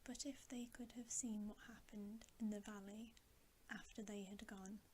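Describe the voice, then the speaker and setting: A woman reading a bedtime story in a soft, breathy ASMR whisper, gently and with pauses. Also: mid-range voice, steady tone, slight background noise. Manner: slow, gentle, unhurried delivery with pauses.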